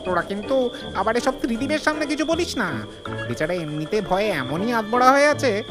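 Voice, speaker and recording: A man's voice: sits high, sing-song, brisk, music in the background. An actor playing a cheeky, nervy young man, the chatty troublemaker of his gang in a comedy-mystery audio drama. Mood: shaken. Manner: chatty, teasing, shaky when scared.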